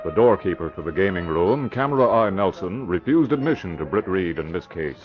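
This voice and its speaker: Low-voiced radio serial narrator. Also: male voice, lively intonation, fairly quick. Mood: dramatic. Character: male crime-serial narrator. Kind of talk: dramatic scripted radio narration. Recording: muffled old radio recording, music behind.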